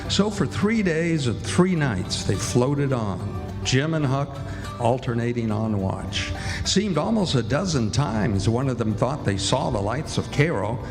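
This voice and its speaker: A man's voice: medium pitch, sing-song, normal speed, gravelly. A man acting as a folksy storyteller, narrating a river adventure for a radio play. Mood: suspenseful, folksy. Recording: hall echo, prominent music behind.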